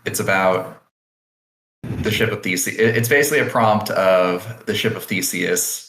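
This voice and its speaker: A man's voice: low voice, moderate pace, fairly bright tone. A man co-hosting a podcast, reading listener questions in laid-back casual chat with dry quips. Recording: echoey room.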